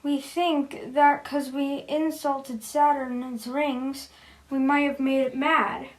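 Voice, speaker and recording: High-pitched woman reading slow emergency alerts. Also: flat delivery, low-quality recording. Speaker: emergency broadcast announcer in a hobby scenario video. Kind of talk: scripted mock emergency alert narration.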